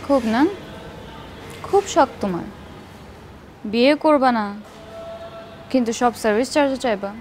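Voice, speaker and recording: A woman's voice: high voice, measured pace, long pauses, darkish tone, slight background noise. A woman with a Bangladeshi Bengali accent playing a teasing, sceptical young girlfriend in a TV drama, coy and chiding as she questions. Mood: sceptical, firm. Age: young adult. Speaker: actor. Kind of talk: naturalistic TV drama dialogue.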